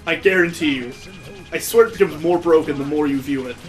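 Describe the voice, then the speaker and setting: A man with a slightly high voice gives casual commentary as he plays a video game. He gripes with amused sarcasm, and game music plays faintly behind him. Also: fairly quick. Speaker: let's play video host.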